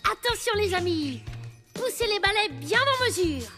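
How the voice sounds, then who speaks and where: A woman's voice: very high-pitched, big pitch swings, normal speed. A woman voicing a cheerful, eager little wooden toy boy in a French children's cartoon, with a childlike, encouraging delivery. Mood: rallying. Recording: music behind the voice.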